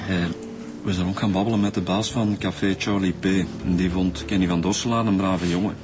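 Man playing a steady, low-voiced Flemish detective. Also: fairly quick, background noise, lightly acted. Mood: informative. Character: steady, polite male police detective. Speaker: actor in a police radio serial. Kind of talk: radio drama acting.

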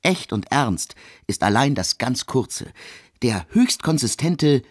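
An austere composer in a radio play, in a mid-range voice with no room sound. He is aloof and intellectual, declaring his views deliberately and haughtily. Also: mid-range voice, very animated, dry recording, studio quality. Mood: scornful, intense. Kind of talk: literary radio drama monologue.

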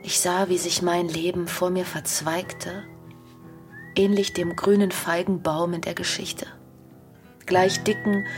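An actress playing a melancholy, restless young woman in a naturalistic radio drama. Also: fairly low pitch. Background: guitar music.

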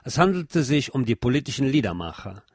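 A man narrating a scripted cultural history feature in a measured, formal and literate manner. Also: mid-range voice, very animated, slightly rough, no room sound, studio quality.

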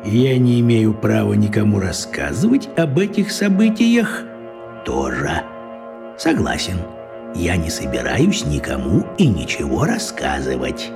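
An actor playing a small, crafty old wizard in a children's radio play, talking in a coaxing, folksy way with a husky voice. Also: male voice, fairly low pitch, huge pitch swings, unhurried, over music, heavily acted. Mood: pondering.

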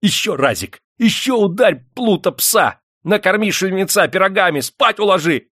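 A man's voice: high-pitched, lively intonation, moderate pace, bright, forceful tone, no room sound, studio quality, pitched above the performer's own voice, full character voice. A man voicing a domineering, gleefully cruel eighteenth-century factory owner in an audiobook, bellowing and crowing his exclamations. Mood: excited, gleeful. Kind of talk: dramatised audiobook character voice.

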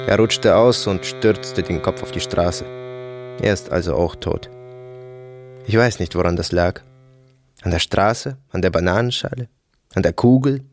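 Casting: brooding, detached male narrator for audio drama, low register, terse fragments, sudden emphasis, music underneath. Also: gravelly.

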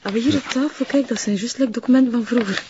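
A woman's voice: a little high, quick pace, mellow, muffled recording, close to an everyday voice. Actress as curious Flemish woman, noisy audio. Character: curious young woman, casually prying. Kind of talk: naturalistic TV drama acting.

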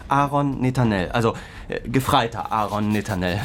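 A man playing an anxious young army private searching for his cousin, speaking haltingly and earnestly and repeating himself. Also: on the low side, lightly acted. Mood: hesitant, earnest. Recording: clear ambient background noise.

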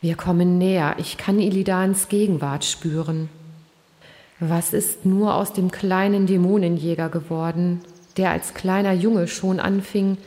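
Casting: actress as ancient she-dragon, low mellow tone, solemn and wistful, cavernous reverb, parody audio drama. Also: fairly even intonation, a little slow, lightly acted. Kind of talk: German fantasy parody audio drama acting. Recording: long hall reverb.

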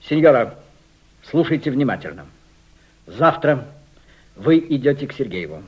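An actor playing an imperious, cold Italian fascist conspirator in a Soviet radio drama, giving clipped theatrical orders. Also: male voice, medium pitch, very animated, measured pace, husky. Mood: impatient, commanding. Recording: dull lo-fi radio play recording.

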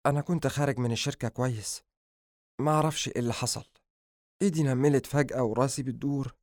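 A man's voice: medium pitch, steady tone, fast, dry recording, pitched above the performer's own voice, close to an everyday voice. Man acting weary lovestruck young man, dry close sound. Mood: weak, dazed. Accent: Egyptian. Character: ailing, lovestruck young man, gentle and playful. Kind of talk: audiobook character dialogue.

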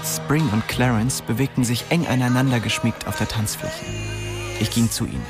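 A man's voice: mid-range voice, normal speed, over music, natural voice. An actor playing a weary, bitter young man who narrates his own crime story tersely in a radio drama. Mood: brooding, resigned. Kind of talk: scripted first-person radio drama narration.

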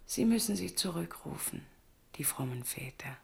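An actress in a German radio drama playing a haughty, pious, demanding queen in a breathy, hoarse whisper. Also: fairly low pitch, lively intonation, moderate pace, darkish tone, full character voice. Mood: insistent, hushed.

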